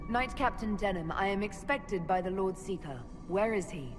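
A woman plays a composed, resolute elf leader in a fantasy game. She is calm and formal, with a mid-pitched voice. Music is clearly heard underneath. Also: normal speed, close to an everyday voice. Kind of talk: video game cutscene acting.

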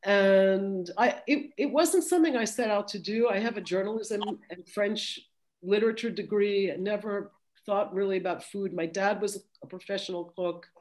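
A woman's voice: mid-range voice, normal speed, a little mellow. Middle-aged woman, chatty and self-deprecating, talking on a home video call. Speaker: guest chef and TV host. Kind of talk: casual webinar talk. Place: home room, indoors. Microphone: video call, laptop camera.

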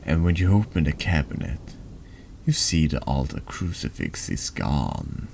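A man with a deep voice narrating a horror text game in a deliberate, dramatic ASMR storytelling style. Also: sing-song, gravelly, darkish tone, a little hiss or hum. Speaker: streamer reading a text adventure game aloud.

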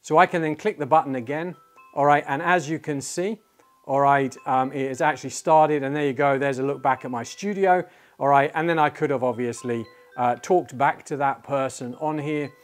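Middle-aged man demonstrating tech, conversational and halting. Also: mid-range voice, soft background music. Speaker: tech reviewer, presenter. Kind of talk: casual product demonstration and walkthrough. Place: small indoor studio office. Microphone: lapel mic.

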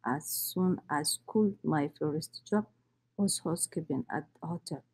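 Slow, low-voiced woman. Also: a little mellow, breathy edge, poor audio. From Somalia. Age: 30s. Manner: halting, with restarts and non-native grammar. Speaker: immigrant storyteller. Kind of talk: reading a personal story.

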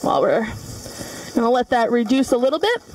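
A woman's voice: on the high side, sing-song, a little fast, darkish tone. A young woman giving a cooking demonstration in a large studio kitchen, explaining each step with frequent fillers. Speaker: culinary student demonstrator. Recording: voice from far off the mic.

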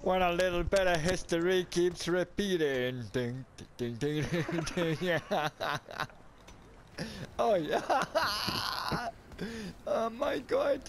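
Male gamer, very slow-paced yet lively in pitch, giggly and sweary, casual banter over noisy game chat. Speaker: video game player. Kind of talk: casual banter during online gaming.

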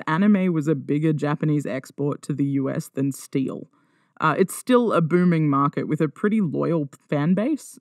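A man's voice: fairly high pitch, dry recording, clean studio sound. A man hosting a podcast, reading scripted narration in a wry, informal way with conversational asides. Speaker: podcast host.

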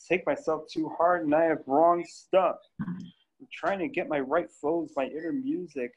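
A man acts out a grieving, overwhelmed sitcom widower. His voice sits slightly high, and the video call makes it sound muffled. It is a table read of a script. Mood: agitated, overwhelmed.

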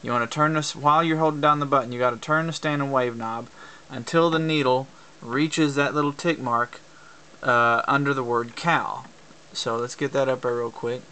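A man's voice: medium pitch, steady tone, moderate pace, bright, forceful tone, clear, resonant tone. A man giving an informal hands-on tutorial, explaining things plainly step by step. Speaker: amateur instructor demonstrating CB radio use. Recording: faint background noise, clicks, amateur camera.

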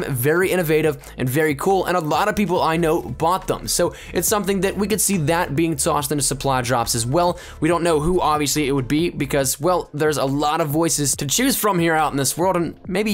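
A young man with a fairly high voice hosts a gaming news video. He speaks in wordy, rehearsed presenter phrases and leans on the ends of them, in the upbeat style of commentary over gameplay.

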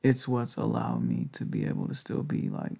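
Soft, very gravelly young man. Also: wildly swooping pitch. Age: young adult. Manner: slow, hesitant, tender, low-key while lying down. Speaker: ASMR roleplay performer. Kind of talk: intimate ASMR boyfriend roleplay, soft-spoken. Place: indoors, lying on a bed in a bedroom.

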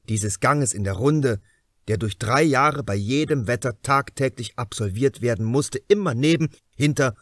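A man reading a crime novel as an audiobook narrator, in a measured, expressive way with deliberate pauses. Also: mid-range voice, no room sound, clean studio sound.

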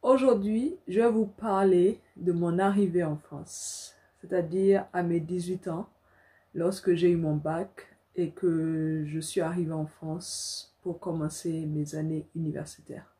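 A woman's voice: fairly low pitch, steady tone, unhurried, dark tone. A young adult woman from Africa telling a personal story casually to camera as a vlogger, indoors in a small room.

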